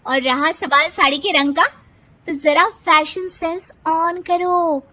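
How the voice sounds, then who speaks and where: Woman voicing a feisty, high-pitched outspoken young woman. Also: steady tone, slow, bright, forceful tone, exaggerated voice. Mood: indignant. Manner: scolding, emphatic, indignant. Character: feisty, outspoken young woman, loyal friend who snaps back.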